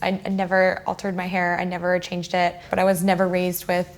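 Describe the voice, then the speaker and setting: A woman in her twenties talking calmly and reflectively in a candid group conversation. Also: fairly low pitch, fairly quick. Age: 20s. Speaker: participant.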